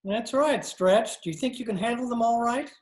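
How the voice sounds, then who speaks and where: A woman's voice: fairly high pitch, moderate pace, darkish tone, echoey room, natural voice. Woman as mild, earnest biology teacher Mister Boynton. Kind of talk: old-time radio comedy acting. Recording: remote video-call recording.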